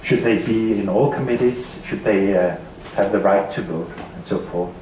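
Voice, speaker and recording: A man with a Danish accent giving a seminar talk, halting and repeating words often. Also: on the low side, warm tone, slight background noise. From Denmark. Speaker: seminar speaker. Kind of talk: conference presentation. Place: seminar hall. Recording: echoey hall from far off.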